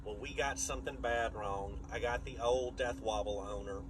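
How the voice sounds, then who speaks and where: A man's voice: mid-range voice, fairly even intonation, normal speed. A man chats casually and plainly in a folksy, rambling vlog style. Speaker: vlogger. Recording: clear vehicle and outdoor noise.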